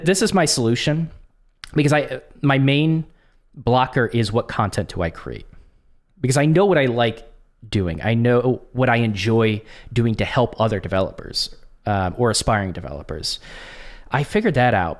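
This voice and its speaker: A man who is coming down with a cold, talking casually and haltingly to camera, with frequent restarts and ums. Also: medium pitch. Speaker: content creator.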